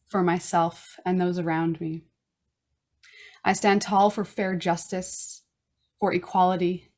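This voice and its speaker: Woman host, low voice, earnest, slow reflective reading, from newfoundland. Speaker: podcast host. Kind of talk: reading a personal land acknowledgment and intro.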